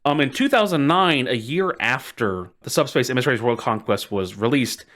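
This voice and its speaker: A man's voice: mid-range voice, animated, normal speed, fairly bright tone. A man hosting a podcast in casual storytelling chat, enthusiastic and emphatic, often doubling words for stress. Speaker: podcast host.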